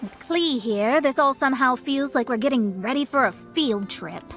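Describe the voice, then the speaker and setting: A woman's voice: sits high, normal speed, a bit bright, faint music behind. A woman playing a composed, thoughtful, polite young astrologer in a video game.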